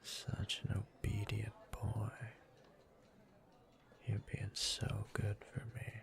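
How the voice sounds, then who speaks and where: A man playing a teasing, dominant bully turned seductive lover in an intimate whispered roleplay audio, murmuring in a slow, smug, breathy way. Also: on the low side, fairly bright tone, heavily acted.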